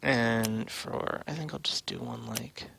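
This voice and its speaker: Man, bright voice, mid pitch, casual livestream game commentary, offhand fragments, light background noise. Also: steady tone, slow pace, creaky. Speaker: livestream host and game developer.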